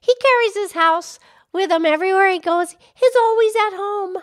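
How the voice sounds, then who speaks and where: A woman voicing an excitable, childlike pig puppet with an eager, chatty, exclamatory manner in a children's puppet show. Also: extremely high pitch, normal speed. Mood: cheerful, eager. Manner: eager, exclamatory, repeats phrases.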